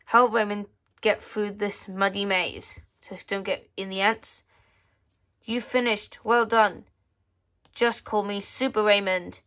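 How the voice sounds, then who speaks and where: Casual female read-aloud voice, medium pitch, halting, with offhand muttered asides. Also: fairly even intonation, fairly bright tone. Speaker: magazine reviewer. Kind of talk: casual read-aloud walkthrough of a children's magazine. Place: indoors at a tabletop.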